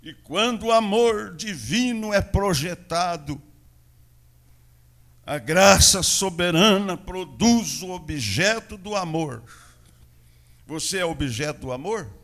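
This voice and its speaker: Slow-preaching Brazilian pastor, higher voice. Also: male voice, lively intonation. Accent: Brazilian Portuguese, São Paulo interior. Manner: preaching with swings between loud proclamation and quiet asides. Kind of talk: sermon. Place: church.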